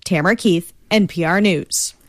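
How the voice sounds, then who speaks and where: A woman news correspondent filing a report with a brisk, wry delivery. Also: on the low side, no echo, studio quality.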